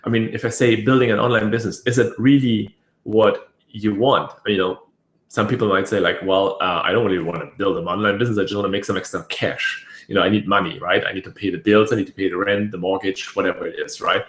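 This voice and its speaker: A man with a raspy voice hosting a casual webinar, rambling with many fillers and restarts. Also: fairly low pitch, fairly even intonation, fast talker, a bit bright. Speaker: webinar host. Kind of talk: casual live webinar talk. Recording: echoey room.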